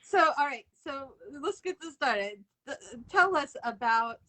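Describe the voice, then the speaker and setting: A woman's voice: sits high, animated, unhurried, sharp tone, rough recording. A woman hosting a casual, informal live interview, chatting freely and often laughing as she talks. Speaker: interview host.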